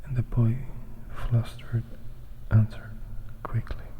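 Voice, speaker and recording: A man reading a novel aloud in a hushed, deliberate ASMR whisper. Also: medium pitch, little pitch movement, phone-quality sound. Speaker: ASMR reader narrating a novel.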